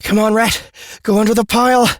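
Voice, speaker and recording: Man acting a frantic, shouting fantasy hero. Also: sits high, flat delivery, brisk, no echo, studio quality, voice pushed up, close to an everyday voice. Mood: frustrated. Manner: shouting, panting, desperate. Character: frantic, exasperated man fighting a giant rat. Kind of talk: fantasy audiobook character acting.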